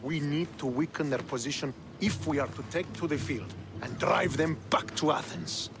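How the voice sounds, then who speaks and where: Man acting a stern Spartan commander, higher-pitched. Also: moderate pace, music in the background, lightly acted. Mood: commanding, grudging. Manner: commanding, clipped, distrustful. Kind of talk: dramatic video game acting.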